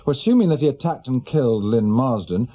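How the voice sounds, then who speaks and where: An authoritative senior detective, acted by a man, brisk and methodical. His middle-pitched voice probes and reasons aloud, heard through a slightly dull radio drama recording. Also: animated, natural voice. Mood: probing. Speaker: actor in a radio play. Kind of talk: naturalistic radio drama acting.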